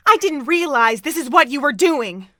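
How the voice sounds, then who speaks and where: A woman's voice: sits high, animated, moderate pace, fairly bright tone. A young woman playing an indignant, frightened bystander caught in a heist, exasperated and incredulous, in an amateur audio drama. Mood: outraged.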